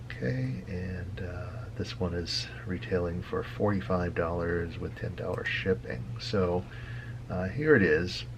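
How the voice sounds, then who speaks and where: A man giving a casual tutorial as a hobby video host, talking haltingly with frequent hesitant pauses. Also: low-pitched, creaky, background noise. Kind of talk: casual screen-share tutorial.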